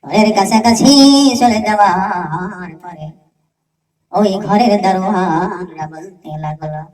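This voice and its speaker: A woman's voice: lowish voice, slow, darkish tone. A woman preacher telling a religious story as a sermon, dramatically and with repeated phrases for emphasis. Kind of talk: sermon storytelling with acted dialogue. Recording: echoey, distant mic, music behind.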